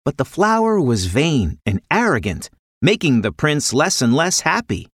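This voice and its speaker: A woman reading a scripted children's fairy tale as an expressive storyteller. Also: low-pitched, a little slow, husky, no echo, studio quality. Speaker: narrator.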